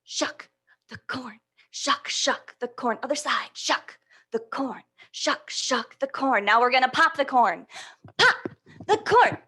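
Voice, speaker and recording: A woman hosting a storytime for young children, reading and leading action rhymes in a playful, encouraging, animated way. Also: high voice, takes it slowly, husky. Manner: playful, encouraging, checks in with listeners.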